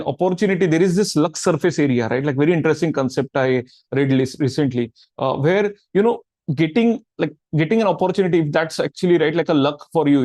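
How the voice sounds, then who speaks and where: A man's voice: fairly high pitch, dark tone, no room sound. A middle-aged man, answering questions in a casual, rambling way. He keeps dropping "right, like" and "I mean" between his points. The sound is dry and close. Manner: filler-heavy, repeats "right, like". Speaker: guest speaker answering questions. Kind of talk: casual Q&A talk.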